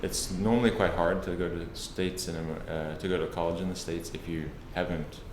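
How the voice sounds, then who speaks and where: A man from South Africa with a South African accent, a guest explaining his career in a measured, conversational way. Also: deep voice, fairly even intonation, echoey room, a little hiss or hum. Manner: measured, explanatory, with frequent restarts. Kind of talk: conversational interview.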